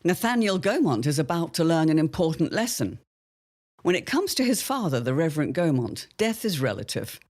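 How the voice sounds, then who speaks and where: A man narrating a dramatic serial in a measured, theatrical, old-time announcer manner. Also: a little high, big pitch swings, a little mellow.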